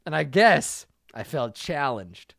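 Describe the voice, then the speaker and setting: A man's voice: on the high side, very animated, slow, vocal fry, a bit bright, dry recording, clean studio sound, heavily acted. A man reading the lines of a sheepish male martial arts school owner who hesitantly apologizes.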